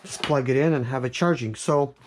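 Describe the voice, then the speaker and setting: A man's voice: mid-range voice, brisk. Casual man thinking aloud over background noise. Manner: thinks aloud, hesitant, informal. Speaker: product reviewer and vlog host. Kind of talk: casual hands-on unboxing commentary. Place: office. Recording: clear background noise with clicks.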